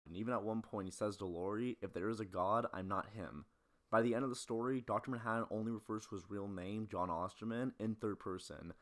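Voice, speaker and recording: A man with a fairly low voice narrates a film analysis video. He is blunt and opinionated, sliding between scripted essay and casual, sweary commentary. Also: fairly quick.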